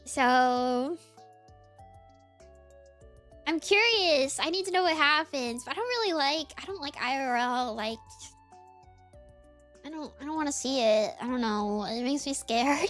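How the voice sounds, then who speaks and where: Woman, high voice, VTuber chat stream, rambling, giggly, lots of fillers. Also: fairly bright tone.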